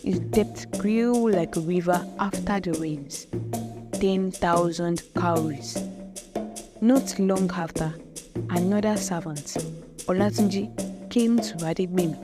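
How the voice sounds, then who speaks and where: A woman's voice: fairly low pitch, slow. A woman narrating a folktale in a dramatic, vivid storytelling style. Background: music.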